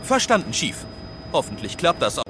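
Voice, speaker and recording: A man's voice: wildly swooping pitch, normal speed, loud music behind the voice. An actor playing an odd, dutiful police officer in an audio play who announces his own actions in clipped phrases. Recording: rumbling vehicle noise behind.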